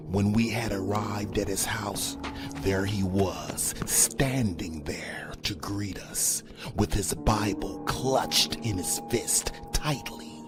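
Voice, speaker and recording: A man reading a scripted first-person horror story in a hushed, often breathy delivery. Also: low-pitched. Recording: prominent background music under voice. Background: music.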